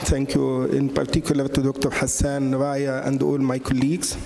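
A man's voice: medium pitch, monotone, normal speed, creaky, a little mellow, slight background noise. A middle-aged man with an Egyptian accent, speaking as a doctor on a conference panel into a handheld mic, hesitant with many fillers and restarts. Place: large conference hall on stage. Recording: echoey hall with long reverb.

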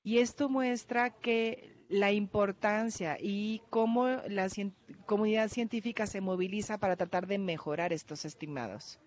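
Ponente con acento portugués, dicción lenta y vacilante, cámara lejana en un auditorio. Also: female voice, medium pitch, steady tone, measured pace, darkish tone. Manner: careful, hesitant, with restarts and fillers. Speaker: researcher presenting at a workshop. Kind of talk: conference talk. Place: large conference hall. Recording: dull lo-fi hall recording.

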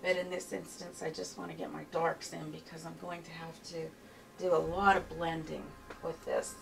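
Soft-spoken woman with a Pittsburgh accent, painting teacher, low, unhurried voice, picked up by a distant camera mic. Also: flat delivery, creaky, soft background music. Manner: unhurried, murmurs to herself while painting. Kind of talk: step-by-step painting tutorial. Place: home art studio, indoors. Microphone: camera mic from behind, far off.

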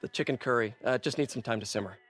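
An actor playing a young American superhero in a video game, wisecracking, boyish and earnest, slightly flustered. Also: male voice, mid-range voice, fast talker, a bit bright, natural voice. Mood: playful. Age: young adult. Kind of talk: video game cutscene acting.

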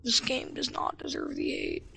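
Raspy young man with a wildly lively voice. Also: very deep voice, normal speed, a little hiss or hum. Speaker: game streamer. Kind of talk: casual chat while gaming.

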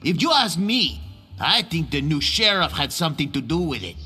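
A man's voice: on the high side, big pitch swings, normal speed, bright, forceful tone, breathy edge, soft background music, heavily acted. A man playing a wretched, pleading prisoner in a cartoonish video game, whiny and theatrical. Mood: grumbling, conspiratorial. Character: pleading, foul-breathed, wretched male jailbird.

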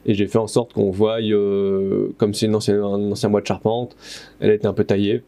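Gravelly low-voiced man, hesitant DIY talk. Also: flat delivery, a little fast, warm tone, dry recording. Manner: hesitant, many fillers, self-correcting. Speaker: plasterer renovating his house. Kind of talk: casual DIY narration. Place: stone-walled room under renovation. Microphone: head-mounted action camera.

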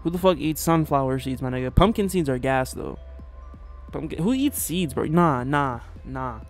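A man's voice: medium pitch, expressive, normal speed, faint music behind. A young man casually reacting to a rap song from his small bedroom, slangy and laid-back, swearing often. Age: young adult. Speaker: music reaction youtuber. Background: hip hop track playing.

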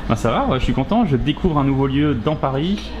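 A man's voice: mid-range voice, expressive, fairly quick, dull audio, noisy background. A man hosting a casual interview, asking chatty questions and often restarting his sentences. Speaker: interviewer, blog host. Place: coffee shop, indoors.

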